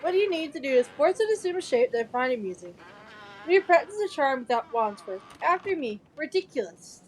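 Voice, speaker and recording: A woman's voice: sits high, very animated, brisk, low-quality recording. A woman playing a courteous, encouraging male professor instructing a class in an audio drama. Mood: instructive. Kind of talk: fan audio drama acting.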